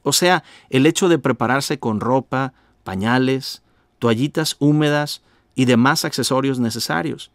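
Calm man teaching, dry close sound. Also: mid-range voice, no room sound. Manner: calm, measured teaching, asks the listener direct questions. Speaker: pastor and radio host. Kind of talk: recorded radio teaching.

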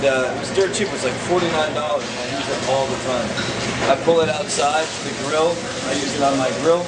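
A man in his thirties, an executive chef, giving a relaxed, chatty cooking demonstration with many fillers and restarts. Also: on the high side. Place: large restaurant kitchen. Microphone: camera mic from a few feet away. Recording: echoey kitchen, distant camera mic, loud hum.

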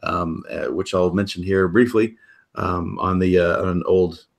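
A man in his thirties, a podcast host with a rough, hoarse voice, rambling casually with lots of fillers on a video call from a room at home. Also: low voice, steady tone, measured pace. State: hoarse, coughing.

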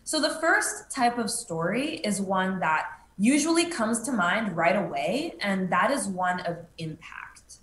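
A young woman giving a prepared instructional talk in a clear, structured, explanatory way over a video call. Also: mid-range voice. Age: young adult. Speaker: advocacy training presenter. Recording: roomy, echoey webcam audio with noise.

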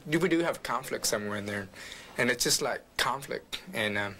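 A man's voice: medium pitch, animated, normal speed, sharp tone, a little hiss or hum. A young man, a college student, answering an interview hesitantly, searching for his words. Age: young adult.

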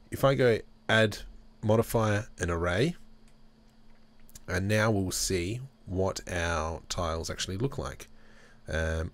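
A man with a very low voice hosts a software tutorial. He talks his way through each step in a relaxed way, thinking aloud as he works at his desk microphone. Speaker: tutorial host. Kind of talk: screen-recorded software tutorial.

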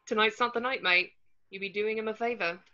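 A woman's voice: mid-range voice, brisk, bright, forceful tone, natural voice. A woman reading the part of a loyal, blunt British teenage boy wizard at a table read on a video call. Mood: earnest. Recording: muffled lo-fi video call audio.

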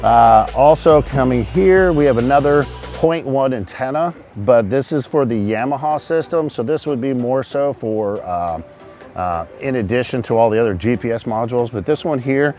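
A man's voice: medium pitch, animated, moderate pace, a little mellow, faint music behind. A middle-aged man giving an informal, technical walkthrough of equipment as a host speaking outdoors. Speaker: host, charter fishing captain. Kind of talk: casual product walkthrough, explaining equipment. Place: outdoors on a boat roof at a marina.

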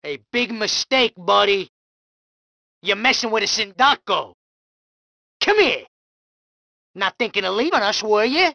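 A woman's voice: medium pitch, lively intonation, unhurried, creaky, pauses often, pushed and bright, dry recording, heavily acted. A woman voicing a hot-tempered young male mob thug in a video game, snarling street-tough threats and taunts. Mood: menacing. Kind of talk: video game combat barks.

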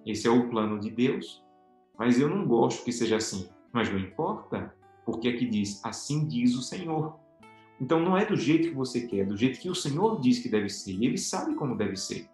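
A man's voice: medium pitch, lively intonation, moderate pace. A man in his thirties from Brazil teaching a Bible lesson to camera, earnest and emphatic, stretching key words for stress. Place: home office with bookshelves, indoors. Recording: echoey room with soft background guitar.

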